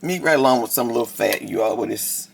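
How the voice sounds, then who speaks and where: A woman with a raspy voice, chatting casually to her viewers in a home kitchen cooking vlog. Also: extremely low pitch, lively intonation, brisk, noisy background. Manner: chatty, talks straight to viewers. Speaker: cooking vlogger.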